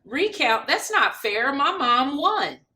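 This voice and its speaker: An excitable storybook child played by a woman exclaims in a high, bright voice. The delivery is loyal, passionate and full of feeling. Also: slow pace, exaggerated voice. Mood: indignant. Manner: exclaiming, indignant or elated.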